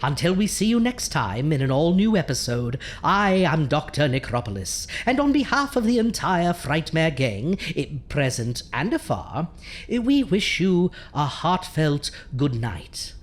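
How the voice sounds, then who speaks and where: Low female voice, macabre horror hostess played by an actress, sardonic, theatrical, swooping intonation, radio comedy. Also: big pitch swings, unhurried. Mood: theatrical, gleeful. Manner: theatrical, dry and sarcastic.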